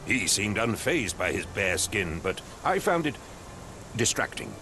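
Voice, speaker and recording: Haughty English captain, video game, fairly low pitch, swooping intonation, clipped, condescending, impatient. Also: male voice, sing-song, measured pace, noisy background. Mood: dismissive. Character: pompous, impatient male English captain, haughty wealthy master.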